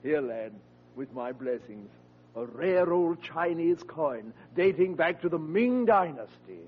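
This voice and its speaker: An actor playing a pompous, sponging old veteran uncle, speaking in a grandiloquent, unruffled radio sitcom manner. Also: male voice, fairly high pitch, expressive, unhurried, slightly rough. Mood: smooth, unruffled. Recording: muffled, hissy vintage radio recording.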